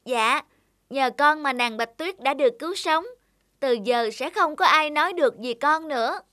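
A woman's voice: high voice, normal speed, no room sound, clean studio sound. A woman voicing an earnest, aggrieved young talking apple in a children's cartoon, with plaintive, emphatic delivery. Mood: pleased. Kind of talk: dubbed children's cartoon dialogue. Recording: loud music behind. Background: loud music.

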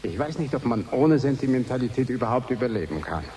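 A man's voice: medium pitch, sing-song, darkish tone, natural voice. An actor playing a reflective, sentimental and fatalistic man in a radio play. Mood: melancholy. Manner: reflective, confiding, in short measured phrases. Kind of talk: 1980s German radio play acting. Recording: slightly hissy archive radio recording.

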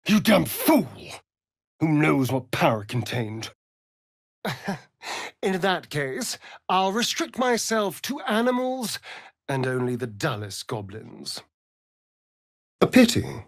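An actor playing a sardonic, flirtatious and vain vampire rogue in a video game, with an arch, theatrical drawl. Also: male voice, on the high side, sing-song, takes it slowly, vocal fry, long pauses, no echo, studio quality, heavily acted. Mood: alarmed, irritated, then sardonic, amused. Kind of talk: video game character acting.

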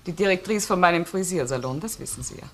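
Low-voiced, guarded widow. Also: female voice, animated, fast talker. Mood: guarded, matter-of-fact. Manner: guarded, clipped, matter-of-fact answers. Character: reserved widowed sister-in-law, guarded, cool, curt witness. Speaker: actress in a crime radio play. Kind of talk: German radio crime drama acting. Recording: old radio recording, faint noise.

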